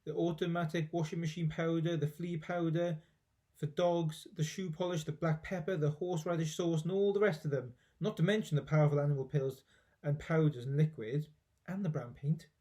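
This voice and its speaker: A man's voice: a little high, steady tone, normal speed, mellow. A man reading a children's storybook aloud expressively, with dramatic emphasis, like a teacher.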